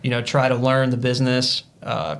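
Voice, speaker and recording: A man's voice: medium pitch, moderate pace, creaky, a bit bright. Earnest man in his thirties. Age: 30s. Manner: earnest, motivational, lots of fillers. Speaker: podcast guest. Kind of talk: casual podcast conversation.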